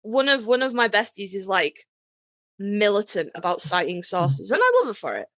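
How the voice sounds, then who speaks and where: A woman's voice: medium pitch, very animated, normal speed, a bit bright, no echo. A woman chatting casually in a self-mocking way, acting out imagined remarks as she goes. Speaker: podcast participant, fanfiction writer. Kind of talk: casual chat.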